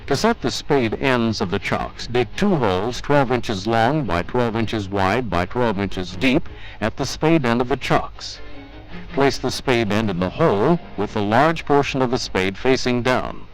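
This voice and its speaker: A man's voice: medium pitch, lively intonation, unhurried, low-quality recording. Male military training film narrator, music behind. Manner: formal, methodical step-by-step delivery. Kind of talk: scripted instructional narration.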